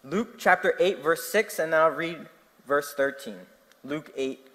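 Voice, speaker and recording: A man's voice: a little high, a little slow. A young man from New Jersey with a New Jersey accent, preaching a sermon, telling stories and asking his listeners questions. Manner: animated storytelling, asking the audience questions. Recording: long hall reverb.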